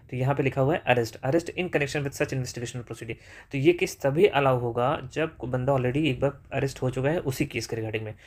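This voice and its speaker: A man with an Indian English accent giving a legal lesson, explaining step by step and answering his own questions. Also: mid-range voice. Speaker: law lecturer.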